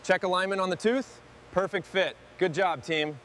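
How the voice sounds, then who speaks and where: A man's voice: high voice, moderate pace, fairly bright tone, slight background noise. A man giving short, scripted instructions and replies as a member of a workshop crew.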